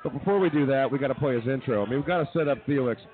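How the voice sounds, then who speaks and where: A man's voice: medium pitch, racing, a loud crowd. A man hosting a live comedy radio show, mixing mock-formal announcing with casual banter. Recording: thin phone-like sound with audience noise.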